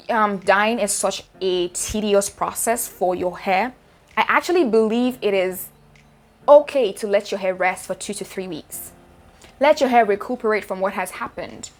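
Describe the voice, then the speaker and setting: A woman's voice: mid-range voice, lively intonation, unhurried. A young Nigerian woman with a Nigerian accent, giving chatty, direct hair care advice to her viewers in a casual vlog. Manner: chatty, direct, addresses viewers as sis and guys.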